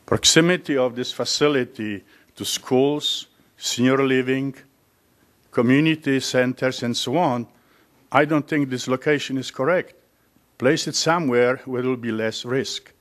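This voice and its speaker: A man's voice: medium pitch, a little slow, a bit bright. Blunt man giving public comment. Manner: blunt, matter-of-fact, cites figures. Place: public meeting hall.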